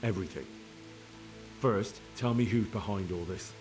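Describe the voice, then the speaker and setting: A man's voice: on the low side, moderate pace, over music, close to an everyday voice. An actor playing a persistent young investigator in a video game drama, direct and businesslike as he questions. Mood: firm, probing. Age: young adult.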